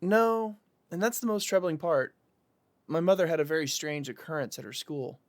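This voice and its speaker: Earnest, higher-pitched young man played by an actor. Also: normal speed, no room sound, natural voice. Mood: troubled, uncertain. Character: earnest young man pleading for patience and trust. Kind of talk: conversational audio drama acting.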